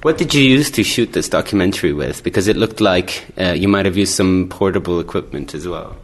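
Low-voiced male radio interviewer. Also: animated, fairly quick. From born in Italy, raised in Ireland. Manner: encouraging, summarizes answers back.

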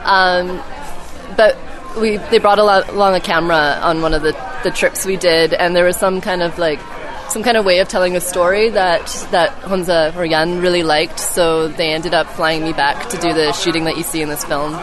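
A woman's voice: fairly low pitch, steady tone, moderate pace. Young woman chatting outdoors amid a crowd. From Canada. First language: Czech heritage, English first. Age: young adult. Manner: chatty, frequent "like" and restarts, laughs easily. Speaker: film's lead and graduate student guest. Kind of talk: casual interview chat.

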